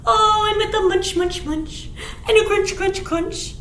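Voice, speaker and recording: A woman with an extremely high voice leads a children's storytime in a roomy, echoing space. She takes her time, stretching words in an exaggerated sing-song as she reads rhymes and picture books. Clear background noise sits behind her. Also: measured pace, a bit bright. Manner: sing-song, exaggerated, with dramatic gasps and simple explanations for little ones. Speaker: children's storytime presenter at a library. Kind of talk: picture-book read-aloud and rhymes for young children.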